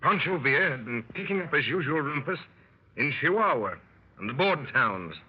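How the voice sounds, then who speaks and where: Animated, husky 1930s radio adventurer. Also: male voice, a little high, a little fast. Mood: wry storytelling. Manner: wry, animated storyteller. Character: plucky gentleman adventurer telling his dangerous exploits. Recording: muffled 1930s radio broadcast.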